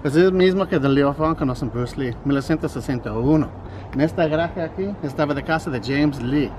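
A man's voice: on the high side, brisk, noisy background. A middle-aged man casually explaining things to a camera on a residential street as a vlog guest. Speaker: host's husband, vlog guest. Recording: music over outdoor voice.

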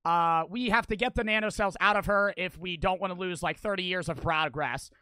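Casual: young dude gaming streamer, bright, high and shouty, voice keeps cracking, swears a lot. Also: male voice, a little fast, dry recording. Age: 20s. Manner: loud, excitable, swears and shouts at the game. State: voice cracking, losing his voice. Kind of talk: livestream gaming commentary. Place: small bedroom streaming setup. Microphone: headset mic on boom arm.

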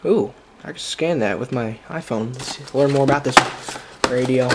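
A man hosting a casual unboxing vlog in a halting, informal way, recorded indoors on a handheld camera's built-in microphone. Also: medium pitch, a little hiss or hum. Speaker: video host.

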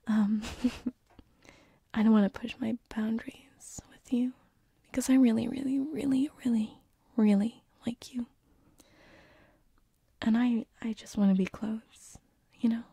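A woman plays a tender succubus who haunts bedrooms and is shyly in love. She whispers slowly and very breathily, hesitating softly. The sound is dry and intimate, an ASMR roleplay on a binaural mic. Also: a little high, no echo, exaggerated voice. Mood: shy, smitten. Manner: soft, hesitant, tender, with breathy giggles and sighs. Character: gentle lovesick female sleep paralysis demon, succubus, shyly affectionate. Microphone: close binaural microphone.

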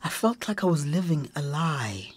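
A performer playing a gentle, weary transgender woman teacher in a radio drama, with a South African accent. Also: low voice, big pitch swings, moderate pace, husky, heavily acted.

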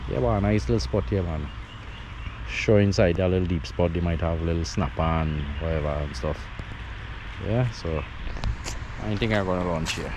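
A man's voice: low voice, moderate pace, pauses often, background noise. A man with a Trinidadian accent giving casual, laid-back vlog commentary to his viewers, recorded outdoors on an action camera. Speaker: outdoors vlogger. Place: outdoors on the water in a kayak bay. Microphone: handheld action camera.

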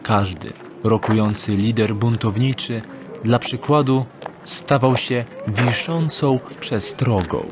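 A man narrating a radio drama in a calm, measured storytelling manner. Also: medium pitch. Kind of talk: scripted audio drama narration. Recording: narration over music score.

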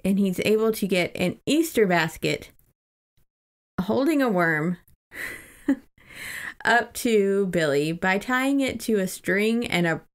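Woman podcaster just chatting, medium pitch, kinda irreverent, swears a bunch. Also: expressive, slow, pauses often. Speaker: podcast co-host. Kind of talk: casual book-discussion chat.

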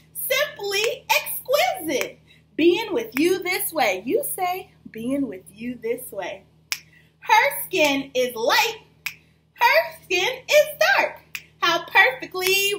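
A young woman teacher reading aloud to small children in a rhythmic, sing-song rap, animated and encouraging. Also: very high-pitched. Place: small plain room indoors. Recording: faint background noise.

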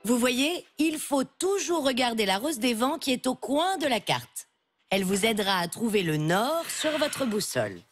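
A woman's voice: a little high, big pitch swings, moderate pace. A woman voicing a firm, patient primary school teacher in a French children's cartoon. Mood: instructive. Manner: instructive, firm. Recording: loud music behind the voice.

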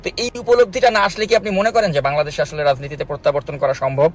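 Male Bangladeshi-accented interviewer, brisk and high-ish, insistent, confrontational phone questioning, noisy line. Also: fairly even intonation. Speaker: journalist interviewer. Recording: phone interview with clear background noise.

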